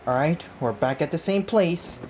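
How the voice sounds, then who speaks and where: Playful low-voiced woman reacting to a game. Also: animated, normal speed. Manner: slangy, playful, laughs often. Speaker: gameplay streamer. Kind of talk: casual game reaction commentary. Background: game music.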